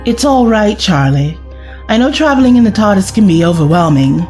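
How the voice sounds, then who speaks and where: A woman's voice: mid-range voice, animated, a little fast, a little mellow, lightly acted. A woman playing a kind, reassuring young time-travelling companion in an audio drama. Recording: music under the voice.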